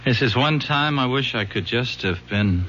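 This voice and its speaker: An actor playing a plainspoken, reluctant police sergeant in a 1970s radio drama, sombre in manner. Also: male voice, mid-range voice, animated, normal speed, fairly bright tone, close to an everyday voice. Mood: regretful. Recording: dull, hissy old radio broadcast.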